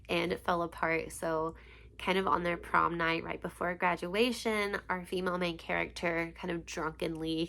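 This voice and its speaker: A woman's voice: lowish voice, vocal fry, fairly bright tone. Congested woman chatting about books. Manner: chatty and gushing, many ums and likes. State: congested, sniffly. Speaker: book vlogger. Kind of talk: casual book review chat.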